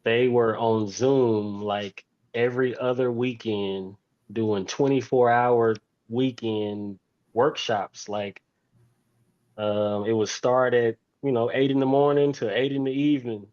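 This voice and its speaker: Slower-talking man in his forties, slightly dull audio. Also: medium pitch, steady tone, a little mellow. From Fort Worth, Texas, United States. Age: 40s. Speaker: interview guest, teacher. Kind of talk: personal storytelling in an interview.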